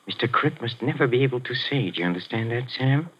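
An actor playing a soft-spoken, scheming maestro plotting a murder, in 1940s radio acting. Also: male voice, mid-range voice, animated, husky. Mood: menacing. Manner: soft, insinuating, slowly building to gloating menace. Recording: muffled 1940s radio recording with music.

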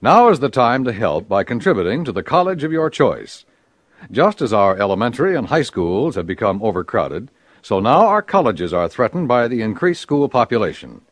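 A man's voice: mid-range voice, big pitch swings, moderate pace, no echo. A male announcer reading an earnest, formal public service appeal on an old radio broadcast.

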